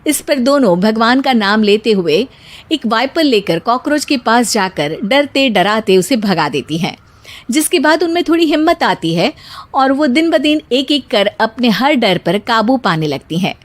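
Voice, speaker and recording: Lively female cartoon story narrator. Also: fairly high pitch, unhurried.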